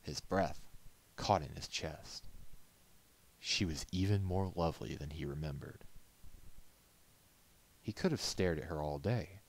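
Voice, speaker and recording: A man's voice: rumbling low, a little slow, pauses often, rough recording. A man reading a story aloud as a narrator, in scripted storybook style.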